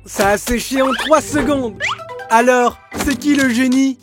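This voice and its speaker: A man's voice: very high voice, a little slow. A man playing a vain, overconfident hairdresser uncle in a French children's cartoon, with a pompous, flamboyant way of talking. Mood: smug. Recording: cartoon music behind the voice.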